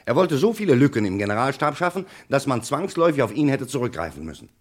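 A man's voice: mid-range voice, sing-song, quick pace. A man playing an insistent, methodical police commissioner in a German radio crime play, speaking precisely and emphatically. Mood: insistent, assured.

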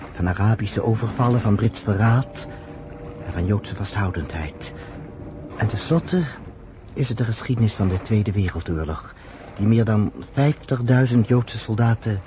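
An actor in a radio play voicing an earnest, patient storyteller, with measured, rhetorical phrasing. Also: male voice, on the low side, warm tone, close to an everyday voice. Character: earnest, patient male storyteller explaining his people's history. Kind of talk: radio drama acting. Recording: slightly noisy old radio recording.

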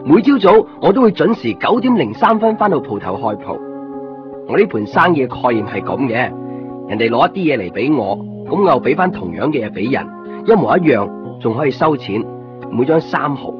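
A man's voice: medium pitch, very animated, brisk, lightly acted. A man playing an easygoing young copy shop keeper who narrates and chats in a Hong Kong Cantonese radio drama. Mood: wry. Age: young adult. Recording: muffled radio recording with music.

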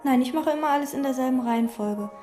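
A woman's voice: high-pitched, fast talker, over music, lightly acted. A woman playing a meticulous, detached chambermaid. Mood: flat. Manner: precise, detached, listing. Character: meticulous, withdrawn woman chambermaid obsessed with cleaning. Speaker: hotel chambermaid. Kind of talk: radio drama acting with inner monologue.

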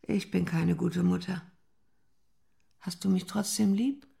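A distraught mother in a radio drama, steeped in guilt and pleading for reassurance. Her voice creaks and rasps with fry as she speaks in anguish. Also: on the low side, fairly even intonation, takes it slowly, dark tone, heavily acted. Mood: needy, pleading. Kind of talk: radio play acting.

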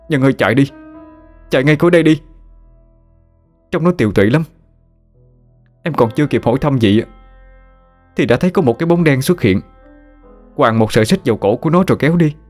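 A man's voice: medium pitch, pitched above the performer's own voice, lightly acted. A man voicing a frightened young man who is shaken after a dream of his dead friend, talking in an urgent, pleading way.

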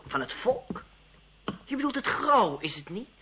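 A lively, urbane cynical young lawyer. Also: male voice, high-pitched, measured pace, husky, heavily acted. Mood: dry irony. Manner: ironic, urbane, condescending. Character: cynical, witty young French lawyer of the revolutionary era. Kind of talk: radio drama acting. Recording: muffled, hissy old radio drama recording.

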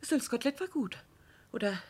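Loyal put-upon wife, high plaintive voice, earnest, halting, radio drama. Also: female voice, sing-song, slightly rough. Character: devoted, long-suffering wife defending her bullying husband. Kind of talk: German radio drama acting.

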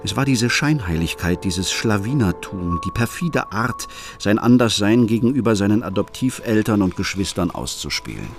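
An actor playing a troubled, suspicious middle-aged father who narrates a radio play in a brooding, measured way. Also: on the low side, very animated. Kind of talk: scripted first-person radio play narration. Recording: music under the voice.

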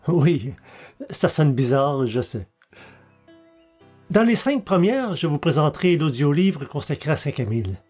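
A man's voice: a little high, sing-song, slow pace, pauses often, a little mellow, husky, faint music behind. A man giving a scripted podcast introduction as its host.

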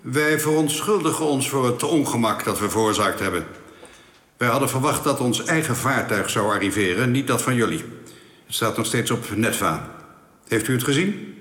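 An actor playing a courteous, matter-of-fact alien official in a Dutch science fiction radio play, speaking formally and in measured phrases. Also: male voice, medium pitch, lively intonation, fairly bright tone, close to an everyday voice. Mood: formal, polite. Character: courteous, matter-of-fact alien official man explaining his planet's laws. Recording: echoey reverberant hall effect.

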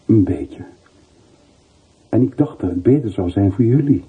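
A man's voice: fairly low pitch, lively intonation, measured pace, breathy edge, full character voice. Vermoeide man, hese telefoonstem. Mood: weary, resigned. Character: weary, regretful middle-aged former soldier, long estranged. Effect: telephone voice filter. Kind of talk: radio play dialogue. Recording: muffled phone-like old radio recording.

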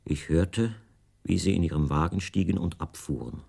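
An actor playing a captive writer who recounts his confinement in measured, precise, detached literary narration for a radio play. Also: low-pitched, creaky, darkish tone, breathy edge, full character voice. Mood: calm, observant.

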